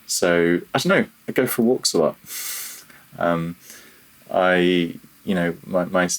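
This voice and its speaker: Very deep-voiced British man chatting. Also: rough recording. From United Kingdom. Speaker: interview guest, freelance audio programmer. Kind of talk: casual chat.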